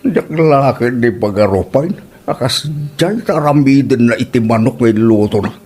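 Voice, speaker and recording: A man's voice: mid-range voice, animated, moderate pace, darkish tone, husky. A man playing an exasperated, fault-finding old father-in-law in a comedy radio drama, grumbling theatrically with an Ilocano accent.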